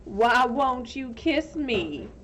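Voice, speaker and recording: A woman voicing a drunken, lecherous male small-town sheriff with a slow, smug drawl in a storybook reading. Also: on the high side, animated, a bit bright, pitched above the performer's own voice, heavily acted. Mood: taunting.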